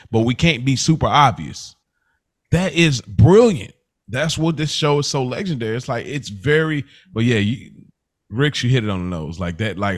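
A man's voice: medium pitch, fairly bright tone. A man co-hosting a casual TV review podcast, talking in a slangy, conversational way. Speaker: podcast co-host.

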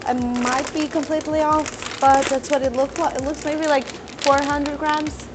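A young woman with a self-described squeaky voice chatting casually in her home kitchen while cooking, rambling with fillers and restarts and trying to talk slowly. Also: little pitch movement, background noise. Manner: deliberately talking slowly. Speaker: cooking vlogger.

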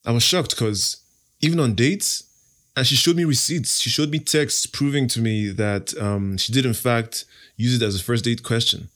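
A man's voice: medium pitch, normal speed, a bit bright. A young man from Nigeria, an Igbo speaker, answering interview questions in a confident, playful, self-assured way. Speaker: podcast host, interview guest.

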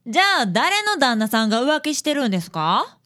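A woman's voice: on the high side, sing-song, fairly quick, fairly bright tone. A woman playing a wry Kansai detective's assistant. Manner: wry, teasing. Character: sensible young female detective's assistant. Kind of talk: community radio drama.

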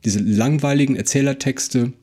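A man co-hosting a podcast in casual discussion, speaking in a halting, reflective way and repeating words. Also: mid-range voice, warm tone, slightly rough. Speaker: podcast co-host.

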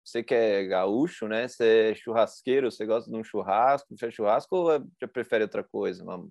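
A man's voice: fairly low pitch, a little fast, creaky, a little mellow, no room sound. A Brazilian man hosting a podcast, chatty and slangy, asking his guest questions with enthusiasm. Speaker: podcast host. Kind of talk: casual interview chat.

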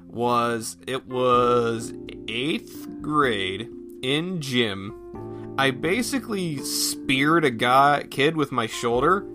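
A man talking casually on his own as a podcast host, thinking aloud in a folksy, self-effacing way. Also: medium pitch. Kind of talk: casual solo rambling chat.

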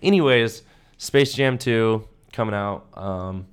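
A man's voice: fairly low pitch, takes it slowly, smooth. A young adult American man chatting casually as a podcast co-host, slangy and emphatic, often repeating himself for emphasis. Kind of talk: casual chat.

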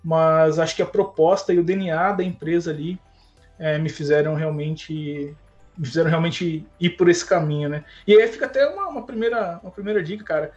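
A man chatting casually as a podcast guest, relaxed and slangy, often tagging his sentences with filler words. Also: a little high, brisk. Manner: relaxed, slangy, fills with "né, cara". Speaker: podcast guest, tech lead. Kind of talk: casual chat. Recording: dull lo-fi sound with faint music.

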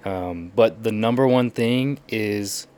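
A young man appearing as a podcast guest, explaining in an animated way and acting out little quotes as he goes. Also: lowish voice, slow pace, clear, resonant tone. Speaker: podcast guest, language learner. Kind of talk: casual podcast chat.

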